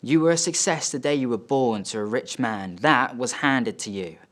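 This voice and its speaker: A man's voice: lowish voice, a little slow, fairly bright tone. A male actor puts on a proud older father of about sixty for a stage monologue. He is scornful and sneering. His reproaches come out drawn and emphatic, each phrase pressed home.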